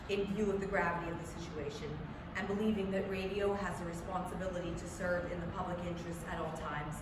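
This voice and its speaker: Lady playing stiff radio company boss, lowish voice, quick, noisy echoey hall. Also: distant mic, close to an everyday voice. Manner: official, formal. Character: formal male radio network vice president making statement. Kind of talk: staged reading of 1930s radio drama. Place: library hall, staged reading, far microphone.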